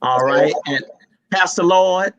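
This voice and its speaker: A man hosting a live online panel of pastors, jovial and teasing as he asks quick questions over a video call. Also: high-pitched, lo-fi sound, noisy background. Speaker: host and moderator.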